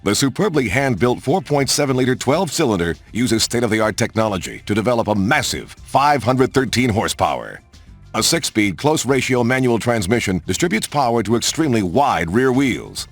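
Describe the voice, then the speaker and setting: Male promo narrator, mid-pitched with animated swings, polished announcer read, music bed underneath. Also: moderate pace. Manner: polished, emphatic announcer delivery. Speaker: game showcase narrator. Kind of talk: scripted promotional car narration. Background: background music.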